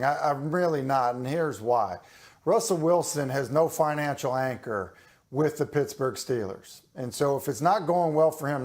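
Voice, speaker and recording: A man in his forties, a studio analyst, arguing his sports take deliberately on a talk show. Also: on the high side. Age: 40s. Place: remote studio set.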